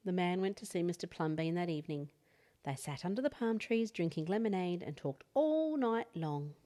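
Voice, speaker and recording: A woman reading a picture book aloud as an expressive children's storyteller. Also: on the low side, moderate pace. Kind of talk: expressive read-aloud bedtime story narration.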